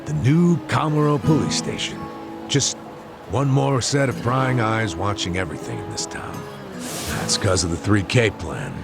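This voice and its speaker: An actor voicing a jaded, streetwise middle-aged former detective in a video game, casual and sardonic, with a goading way of talking. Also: male voice, lowish voice, sing-song, measured pace, vocal fry, exaggerated voice. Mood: wry, blunt. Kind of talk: video game cutscene voice acting. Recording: dramatic music behind the voice. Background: loud background music.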